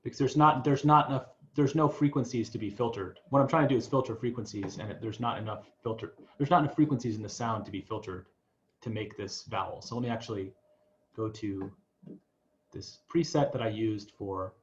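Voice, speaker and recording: A man teaching an informal online class, demonstrating software step by step and thinking aloud in a halting, tentative way. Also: fairly low pitch, lo-fi sound. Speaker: music professor teaching a class. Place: online video class, voice through a microphone. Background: electronic music and synth tones.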